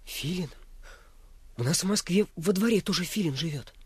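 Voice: medium pitch, lively intonation, moderate pace, darkish tone, husky, no echo. A performer in a Russian radio play voicing a curious, respectful young boy with a wondering, naive manner. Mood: surprised, eager. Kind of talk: Russian radio drama acting.

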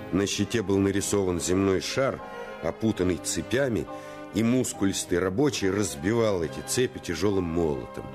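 A man narrating a Russian radio play as someone recalling his boyhood, in a measured, solemn and wistful manner. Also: deep voice. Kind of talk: scripted radio drama narration. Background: music.

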